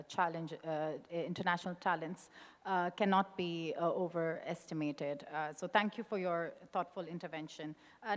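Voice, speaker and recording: Low-voiced woman moderating, hall reverb. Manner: polite, formal introductions with fillers. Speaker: panel moderator. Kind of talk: moderating a conference panel.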